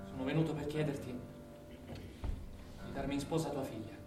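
An actor playing a devout, earnest young Taliban suitor in a stage drama, speaking solemnly. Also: male voice, medium pitch, fairly even intonation, moderate pace, natural voice. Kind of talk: theatrical stage drama acting. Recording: echoey distant stage recording over guitar music.

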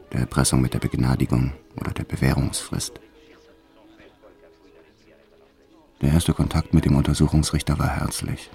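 A man's voice: very deep voice, creaky, dark tone. An actor playing a hardened, reckless French bank robber who tells his story in a cold, matter-of-fact, boastful way for a radio drama. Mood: sober, bitter. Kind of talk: first-person radio drama narration.

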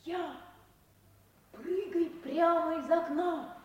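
A woman's voice: very high voice, very slow, dull audio, slight background noise, exaggerated voice. A woman voicing a brave, determined young boy who leads his friend, in a 1950s radio play. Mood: eager. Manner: eager, commanding, lively.

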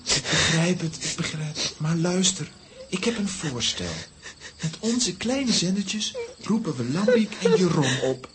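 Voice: medium pitch, lively intonation, measured pace, noisy background, natural voice. An actor playing a sensible, caring young boy in a children's audio play, with an earnest, reasoning delivery. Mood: soothing, persuasive.

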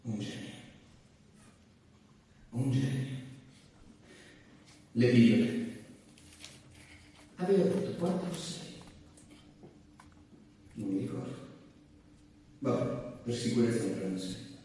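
A man's voice: mid-range voice, very animated, slow, pauses often, warm tone. An actor playing a weary man muttering to himself in a theatrical monologue. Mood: tired, distracted. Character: weary man muttering alone before bed. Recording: very echoey distant hall, faint noise.

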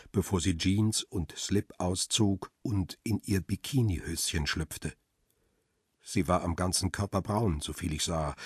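An actor playing a middle-aged, world-weary male photographer who narrates a radio crime drama in a measured, reflective way. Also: low-pitched, a little mellow, husky, no room sound, clean studio sound, full character voice. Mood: observant, calm. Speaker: first-person narrator and lead character.

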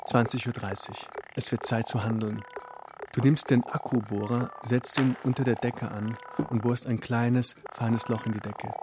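A man with a medium-pitched voice narrates a radio play. He speaks directly to the listener, describing action as it happens. Clear ambient noise sits behind his voice. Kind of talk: second-person storytelling narration, radio play.

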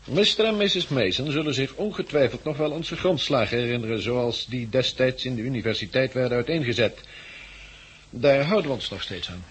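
An actor playing a friendly helicopter pilot recruiting survivors, with measured, courteous explanations in 1960s Dutch radio drama style. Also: male voice, mid-range voice, expressive, slight background noise, close to an everyday voice. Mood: earnest, persuasive.

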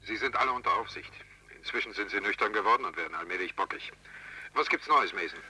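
Sharp, fast-talking investigator on the telephone. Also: male voice, fairly low pitch, animated, husky, exaggerated voice, rough recording. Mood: brisk, businesslike. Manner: businesslike, clipped. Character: brisk investigator on the telephone, a man. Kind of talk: 1960s German radio crime drama acting.

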